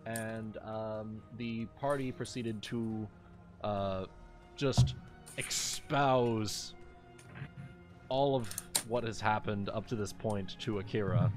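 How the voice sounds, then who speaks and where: Slow-paced man running a game over music. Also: lowish voice. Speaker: game master. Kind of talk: casual livestreamed tabletop game narration. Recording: music playing behind the voice.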